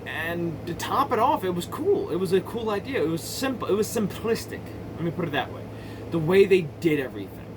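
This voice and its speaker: A man's voice: sits high, sing-song, fairly quick, noisy background. A man giving casual, rambling movie commentary in an opinionated, sweary and emphatic way. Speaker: amateur movie reviewer.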